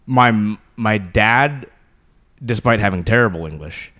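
A man's voice: on the low side, unhurried, sharp tone. A man whose first language is Vietnamese, chatting casually and animatedly, swearing freely and laughing often. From United States. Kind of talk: casual podcast chat.

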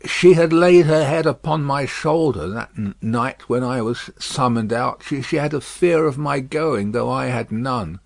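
A man voicing a frail, haunted old male prisoner who speaks in halting, wondering, broken phrases. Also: mid-range voice, vocal fry, no room sound. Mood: remembering.

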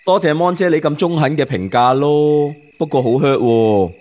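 An actor playing an excitable, earnest, lovelorn young bachelor in a radio drama, with a Hong Kong accent. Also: male voice, on the high side, moderate pace, close to an everyday voice. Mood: sheepish. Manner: animated, earnest, easily flustered. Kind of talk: Cantonese radio drama acting.

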